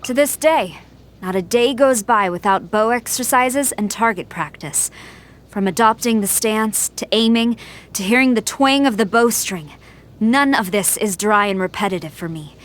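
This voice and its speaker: A woman playing a stern, loyal military general in a video game, speaking in a formal, measured, earnest way. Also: mid-range voice, expressive, close to an everyday voice. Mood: formal, resolute. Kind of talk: scripted game character voice lines. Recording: music behind the voice.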